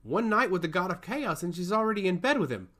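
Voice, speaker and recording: A man's voice: sits high, very animated, quick pace, fairly bright tone, pitched above the performer's own voice, heavily acted. A man playing a rude, protective brother in a fantasy story, blunt and challenging in manner. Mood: incredulous. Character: rude, incredulous, protective brother of a young woman. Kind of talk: fantasy audio story dialogue.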